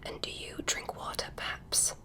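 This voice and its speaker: A woman whispering as a curious alien doctor. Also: natural voice. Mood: curious, soothing. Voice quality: breathy whisper. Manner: soft, slow whispering with gentle instructions. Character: curious, polite female alien doctor examining a human. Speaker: ASMR creator. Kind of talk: ASMR medical roleplay. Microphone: close mic.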